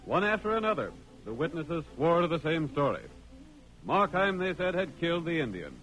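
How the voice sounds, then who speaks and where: An actor narrating a western adventure in an earnest, dramatic old-time radio style. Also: male voice, a little high, big pitch swings, normal speed, fairly bright tone, soft background music, rough recording.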